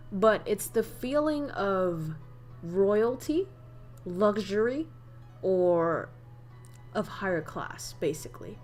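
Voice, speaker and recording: A young woman from northern Vietnam with a Vietnamese accent, chatting casually on a livestream while she teaches idioms and laughs often. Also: medium pitch. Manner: chatty, laughs often, reads chat aloud.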